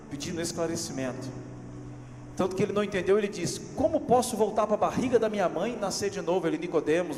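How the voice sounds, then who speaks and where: Brazilian pastor preaching in a reverberant church. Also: male voice, high voice. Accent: Carioca Brazilian Portuguese. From Rio de Janeiro, Brazil. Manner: animated preaching, acts out everyday scenes, asks the congregation for amens. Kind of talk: sermon. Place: church hall.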